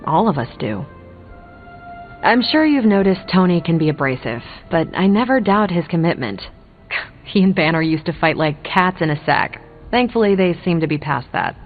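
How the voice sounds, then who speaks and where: Assured, lower-voiced superhero woman. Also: very animated, moderate pace, phone-quality sound, faint music behind. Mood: assured, fond. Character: loyal, plain-spoken female superhero teammate. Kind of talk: scripted video game dialogue.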